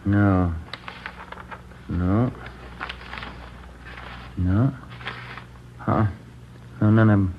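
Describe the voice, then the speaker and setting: A low-voiced, easygoing detective reports back in a relaxed, plain way. The sound is dull and lo-fi, with faint hiss. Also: male voice, very slow, pauses often. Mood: reporting. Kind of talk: 1940s-50s radio drama acting. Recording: lo-fi vintage radio broadcast with faint hiss.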